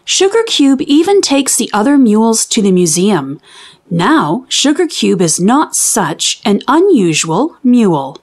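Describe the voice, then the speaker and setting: A woman reads aloud for young children in a fairly high voice with very lively swings of pitch. She takes her time, enunciating each phrase deliberately like someone teaching early readers. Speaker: children's read-aloud host. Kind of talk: expressive storybook reading for young children.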